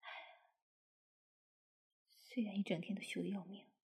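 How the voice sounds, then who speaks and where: A woman's voice: mid-range voice, little pitch movement, unhurried, creaky, darkish tone. A woman voicing a bashful middle-aged mother-in-law who talks to herself in a flustered, whispery way. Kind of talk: intimate audio roleplay.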